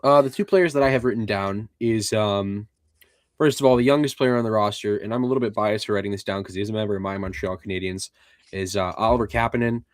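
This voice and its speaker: A man hosting a podcast, calmly running through rosters and steering the casual sports talk. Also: fairly low pitch, fast talker, no echo, studio quality. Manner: relaxed, reads out lists and leads the segments. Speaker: podcast host.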